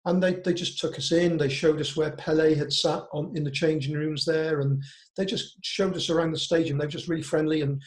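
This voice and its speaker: Older bloke from cardiff chatting through old stories, voice a bit high, really roomy echoey sound. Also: male voice, fairly even intonation, a little fast. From Cardiff, Wales; born in Devon. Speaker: interview guest, walking football manager. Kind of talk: casual reminiscing interview.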